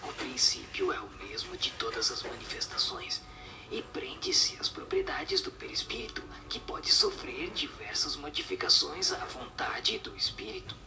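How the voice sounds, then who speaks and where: An actor voicing a solemn spirit that gives measured, instructive answers in a breathy whisper in a radio drama. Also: medium pitch, huge pitch swings, far from the mic.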